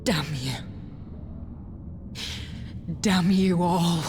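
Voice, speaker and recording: A woman's voice: lowish voice, extremely slow, darkish tone, a little hiss or hum. A woman voicing a bitter, vengeful young woman soldier in a video game cutscene, intense and anguished. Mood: bitter despair.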